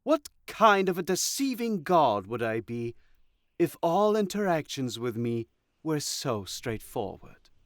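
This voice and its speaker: A man's voice: fairly high pitch, big pitch swings, slow, fairly bright tone, dry recording, studio quality, heavily acted. A man playing a god of deception who speaks in a cryptic, patronizing manner in a fantasy audio drama. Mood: coy, assured. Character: male god of deception, coy and condescending.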